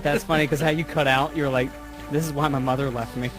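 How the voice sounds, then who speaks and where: A man's voice: mid-range voice, fairly even intonation, fast talker. A man co-hosting a let's play, chatting casually with a teasing, joking manner. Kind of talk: casual gaming banter. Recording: voice over game music. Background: video game music.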